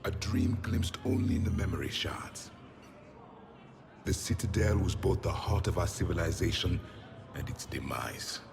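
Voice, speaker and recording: A man's voice: low-pitched, big pitch swings, measured pace, over music. A man voicing a proud ancient alien warrior in a video game, solemn and imperious. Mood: solemn, wistful.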